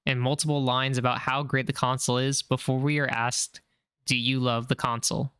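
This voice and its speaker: A man narrating a recap of a horror series in a calm, measured way. His voice is bright and crisp, recorded close and dry with no room sound. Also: mid-range voice, dry recording. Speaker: video narrator.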